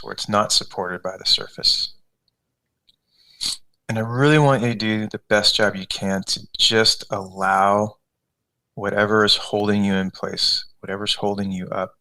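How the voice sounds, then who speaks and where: A man leading a guided meditation as a coach, giving unscripted, conversational instructions step by step with gentle repetition. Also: on the low side, low-quality recording.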